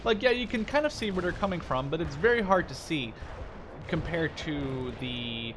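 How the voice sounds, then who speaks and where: A man's voice: expressive, moderate pace. Young man rambling over noisy game sounds. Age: 20s. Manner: rambling, informal, hedges with "like". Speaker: gaming video host. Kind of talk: casual let's-play commentary. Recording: noisy, game rumble behind voice. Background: war game sounds.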